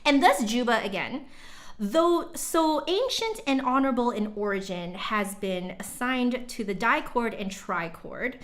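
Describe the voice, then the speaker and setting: A woman's voice: fairly high pitch, lively intonation, measured pace, fairly bright tone. A woman livestream host reading an old text aloud dramatically, breaking off for informal, chatty asides to viewers.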